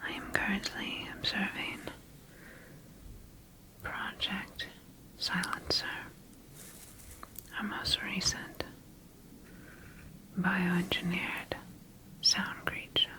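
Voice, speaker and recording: A woman playing a clinical sci-fi scientist in a whispered ASMR roleplay, dictating observations in a measured, breathy voice. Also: fairly low pitch, flat delivery, sharp tone, heavily acted, low-quality recording. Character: clinical female sci-fi scientist studying her engineered creature.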